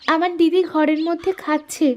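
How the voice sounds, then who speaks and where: A woman voicing a meek, obedient young daughter in a cartoon, soft and pleading. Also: very high voice, level tone, moderate pace, a little hiss or hum. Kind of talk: cartoon voice acting.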